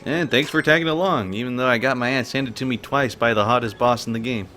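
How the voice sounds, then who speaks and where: A man's voice: medium pitch, quick pace, sharp tone. A man hosting a game stream with casual, sweary, exasperated banter. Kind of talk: casual chat. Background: game music.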